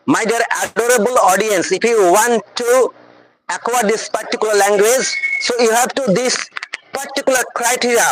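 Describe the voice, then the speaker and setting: A woman's voice: on the high side, a little hiss or hum, rough recording. A woman with a Bengali accent, a native Bengali speaker, giving an earnest motivational English lesson and repeatedly checking that her audience understands. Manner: repetitive, earnest, addresses audience directly. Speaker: English teacher.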